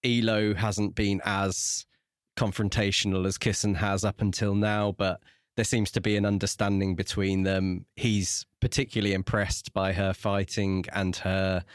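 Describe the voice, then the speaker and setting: Low-pitched man, close dry podcast sound. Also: level tone, a little slow, clear, resonant tone, no echo. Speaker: podcast co-host. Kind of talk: book club discussion.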